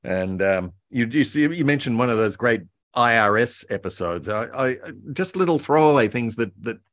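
A man's voice: mid-range voice, animated, normal speed, a bit bright, dry recording, clean studio sound. An older man reminiscing conversationally as a guest, telling stories in a hesitant, meandering way with repeated words. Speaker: guest author and voice actor. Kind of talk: reminiscing conversation about old radio. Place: indoor room, video call. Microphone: video call microphone.

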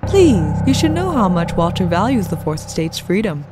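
A woman's voice: fairly low pitch, big pitch swings, normal speed, over music. A woman voicing an exasperated, sardonic political spokeswoman in a comic book dub. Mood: cool. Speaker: voice actor.

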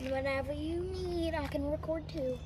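A very high, excited voice chatters eagerly into a phone. Background noise stays clearly audible. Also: female voice, normal speed.

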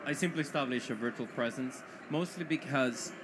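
A man's voice: medium pitch, fairly quick. Man, hesitant, conference panel, noisy stream. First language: Spanish. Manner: hesitant, searching for words. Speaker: panel guest. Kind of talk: casual panel chat.

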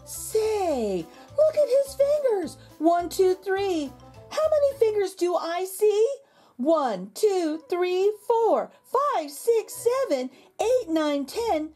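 A woman reading a picture book aloud to young children, with expressive, exaggerated intonation and drawn-out words. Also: very high voice. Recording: background music under the voice. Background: music.

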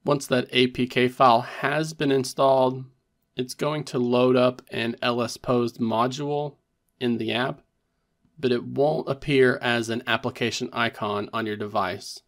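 A man's voice: medium pitch, steady tone, a little slow. A man presenting a tech tutorial in a methodical, step-by-step instructional narration.

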